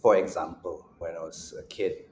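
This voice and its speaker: A low-voiced man talks things through calmly, setting out his points one by one. His voice rings in a roomy space, with a faint hiss of background noise under it. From Malaysia. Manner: measured and reflective, lays out points in order. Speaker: guest, music school founder. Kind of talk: casual interview conversation.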